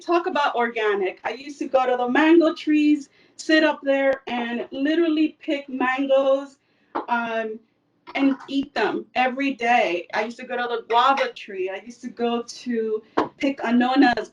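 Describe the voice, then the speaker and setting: A woman's voice: on the high side, a little slow, distant mic, highs cut off. A woman from El Salvador giving a casual cooking demonstration in her home kitchen, chatty with frequent fillers. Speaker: cooking instructor.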